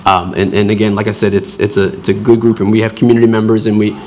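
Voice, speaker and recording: A man's voice: lowish voice, monotone, fast, darkish tone. A man, a city official, replying at a council meeting in a hesitant, friendly way, restarting often. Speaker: city official answering public comment. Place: council chamber.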